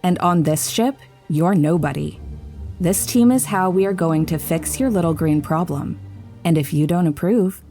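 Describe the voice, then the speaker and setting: A woman's voice: fairly low pitch, normal speed, warm tone, faint music behind, natural voice. An actress playing a stern, commanding female military commander with a clipped, no-nonsense manner in an audio drama.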